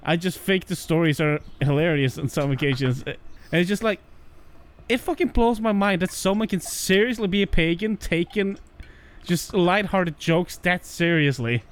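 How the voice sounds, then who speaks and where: A man's voice: sits high, moderate pace. A young man co-hosting a podcast, chatting casually with crude jokes and frequent laughs. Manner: crude, joking, laughs often. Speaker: podcast co-host. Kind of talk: casual chat.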